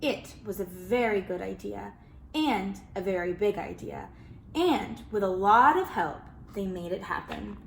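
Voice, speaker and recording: A woman reading a children's picture book aloud, expressive and animated, calling listeners friends. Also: medium pitch, unhurried, vocal fry, slight background noise. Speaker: children's storyteller. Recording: roomy echo.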